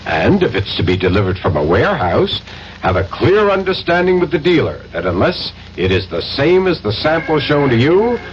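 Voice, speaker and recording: A man's voice: mid-range voice, big pitch swings, moderate pace, close to an everyday voice. An actor playing a helpful, officious bureau man in a radio public-service ad. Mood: helpful, instructive. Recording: muffled old radio spot, faint noise.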